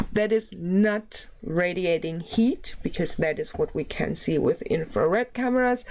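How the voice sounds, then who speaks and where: A woman hosting a casual commentary video, a little sick so her voice sounds slightly off, chatty and giggly. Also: a little high. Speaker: YouTube commentary host. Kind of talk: casual talk to camera.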